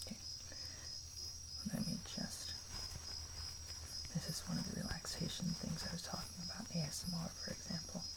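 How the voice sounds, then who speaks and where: A man playing a kind, protective camper in an ASMR roleplay, speaking in a soft, breathy half-whisper with a hesitant, reassuring manner. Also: fairly high pitch, very noisy. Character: kind, protective young male camper comforting a stranger.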